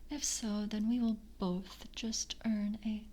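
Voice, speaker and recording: A woman acts out a courteous, hungry vampire of the coven in an ASMR roleplay. She speaks in a hushed, airy whisper with a soft, dark tone. Her delivery is slow, deliberate and formal, with a seductive intimacy. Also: medium pitch, steady tone. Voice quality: breathy, mostly whispered.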